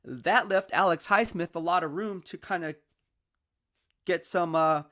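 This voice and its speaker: Bright-voiced, energetic football commentator man. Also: high voice, unhurried. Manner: energetic, conversational, peppered with "right?" and "all right?". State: losing his voice. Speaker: football analyst and show host. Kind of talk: casual sports commentary monologue.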